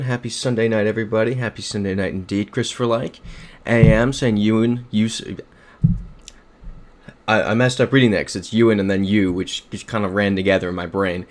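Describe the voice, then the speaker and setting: A young man streaming casually from a home room at a desk mic, upbeat, thinking aloud and reading out chat messages. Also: mid-range voice. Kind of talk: casual livestream commentary.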